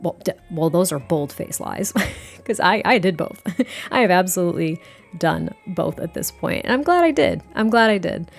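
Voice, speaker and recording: A woman in her thirties with a hoarse, gritty, raspy voice, chatting casually and self-deprecatingly in a gaming voiceover. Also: lowish voice, expressive, normal speed, soft background music. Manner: rambling, self-deprecating, laughs often. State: hoarse from a weekend of cheering and singing. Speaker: Sims YouTuber.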